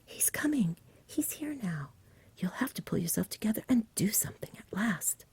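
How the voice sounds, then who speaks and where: A woman's voice: medium pitch, very animated, normal speed, a little mellow, breathy edge, pitched above the performer's own voice, exaggerated voice. A woman voicing an eager, cheeky, pleading village boy in a whispered ASMR storybook reading. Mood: urgent. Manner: urgent, cheeky, imploring.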